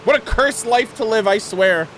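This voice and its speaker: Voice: mid-range voice, normal speed, fairly bright tone, slight background noise, low-quality recording. A game streamer reacting casually to a story as it plays, exclaiming in slang and swearing freely.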